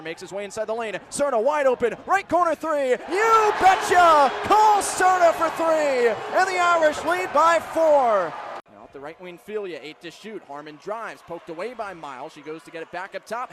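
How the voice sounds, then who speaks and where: Male American radio sportscaster, very high-pitched, punchy clipped calls rising to shouts, over noisy venue crowd. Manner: clipped phrases, building to excited shouts. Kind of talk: live sports play-by-play commentary. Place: sports venue broadcast position. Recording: loud arena and crowd noise behind. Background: crowd and venue noise.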